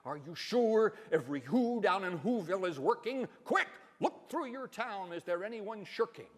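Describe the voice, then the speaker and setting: A man's voice: high voice, lively intonation, normal speed. A man voicing a kindly, earnest, loyal elephant in a rhyming dramatic storybook reading. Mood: earnest urging. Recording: reverberant hall.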